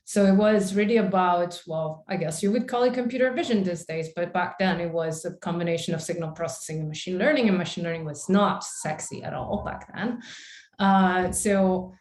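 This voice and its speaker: A woman's voice: fairly low pitch, normal speed, darkish tone. A woman in her forties from Italy, giving a chatty invited talk over a video call, with many fillers. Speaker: invited speaker. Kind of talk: conference talk with slides. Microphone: video call webcam. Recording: echoey room.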